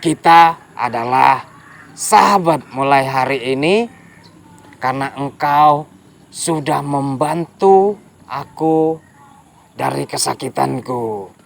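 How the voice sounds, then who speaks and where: A man voicing a big male crocodile in pain, speaking in a groaning, drawn-out storybook way. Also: medium pitch, gravelly. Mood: solemn.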